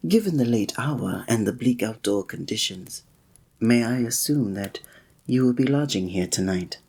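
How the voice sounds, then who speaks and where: Man playing a husky, flirtatious fantasy innkeeper. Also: medium pitch, expressive, moderate pace, a little mellow. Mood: courteous, warm. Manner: formal, old-fashioned, teasing, suave diction. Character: flirtatious, courteous male innkeeper in a fantasy village. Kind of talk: scripted ASMR roleplay addressed to the listener.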